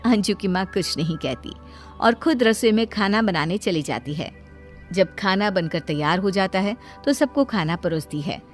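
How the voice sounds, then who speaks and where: A woman's voice: medium pitch, lively intonation, moderate pace, faint music behind. A woman storyteller reading scripted narration for a cartoon bedtime story.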